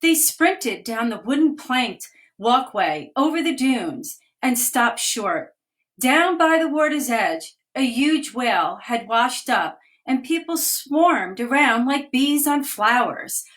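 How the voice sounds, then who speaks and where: A woman, a children's author, reading a picture book aloud on a live video stream, with gentle read-aloud pacing and pauses to point out the pictures. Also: a little high, sing-song. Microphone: phone or webcam on a live video stream.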